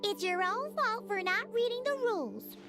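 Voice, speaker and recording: A woman voicing a cheeky, scolding little fairy companion in a video game, with a squeaky childlike voice. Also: animated, normal speed. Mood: exasperated, scolding. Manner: exclamatory, refers to self by name. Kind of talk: animated game voice acting. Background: game music.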